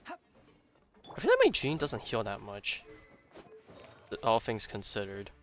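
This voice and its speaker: A man's voice: on the low side, huge pitch swings, slow pace, fairly bright tone. A man streaming a video game, thinking aloud in casual commentary. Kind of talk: casual live gameplay commentary. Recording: slightly dull audio, game music behind.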